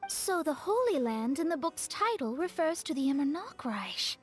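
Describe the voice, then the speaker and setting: A wry young female adventurer, high in pitch and very expressive. She sounds knowing, composed and teasing, in fantasy game style with light music behind. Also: normal speed. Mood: wry, amused. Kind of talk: video game voice acting.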